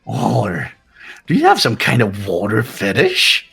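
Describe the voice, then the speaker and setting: Sinister little robot bear mascot for a game, swoops all over, husky, teasing and childish. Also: mid-range voice, huge pitch swings, a little slow, pushed and bright, soft background music, exaggerated voice. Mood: bossy teasing. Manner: mock-cute, swooping, taunting. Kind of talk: fan-voiced game dub.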